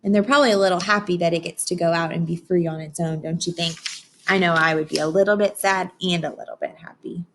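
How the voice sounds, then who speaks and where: A woman reading a children's book aloud like a teacher, expressive and instructive. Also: on the low side, slight background noise. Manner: expressive, instructive, with dramatic pauses and hushed asides. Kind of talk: children's read-aloud with explanations and questions to listeners.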